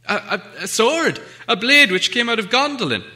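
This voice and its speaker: A man's voice: extremely high pitch, sing-song, moderate pace, sharp tone, voice pushed up, full character voice. A man voicing a timid, flustered little hobbit who stammers politely and anxiously in a storybook reading. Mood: frightened stammering. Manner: stammering, hesitant.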